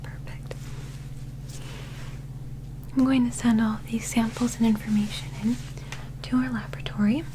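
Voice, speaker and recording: A young woman doing a soft-spoken ASMR medical roleplay in a breathy whisper, gentle, soothing and reassuring, close to the microphone. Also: fairly high pitch, steady tone, normal speed, long pauses, slight background noise, low-quality recording. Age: young adult.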